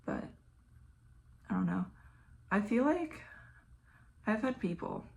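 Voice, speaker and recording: A young woman with a Southern American accent, chatting flatly and casually while doing her makeup, sometimes dropping to a mumble or whisper. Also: medium pitch, slow, vocal fry. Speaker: beauty vlogger. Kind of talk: casual get-ready-with-me chat.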